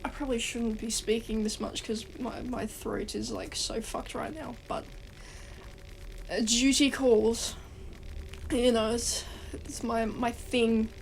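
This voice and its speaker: A woman's voice: fairly high pitch, steady tone, slow, creaky, a little hiss or hum, rough recording. A woman with a cold and a stuffy nose, rambling casually through a game guide, swearing and restarting her sentences. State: congested from a cold. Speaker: gaming guide host.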